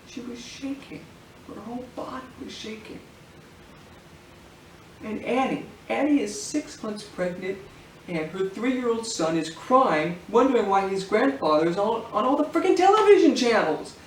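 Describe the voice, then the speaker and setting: A man's voice: very high voice, lively intonation, unhurried. A man acting a bitter, wounded adult son who accuses his father in emphatic, repetitive bursts in a filmed monologue. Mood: distressed, then angry. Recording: echoey room, distant mic, faint hiss.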